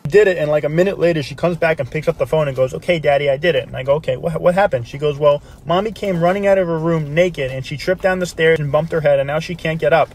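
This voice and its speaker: A man's voice: a little high, fast talker, hardly any pauses. A man casually telling a comedic anecdote and acting out the dialogue as he goes. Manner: animated retelling, acting out dialogue.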